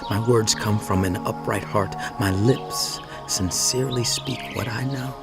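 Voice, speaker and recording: An actor playing an earnest, righteous biblical orator in a dramatized audio Bible, speaking emphatically with deliberate, rhetorical pauses. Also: male voice, mid-range voice. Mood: solemn, measured. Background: music.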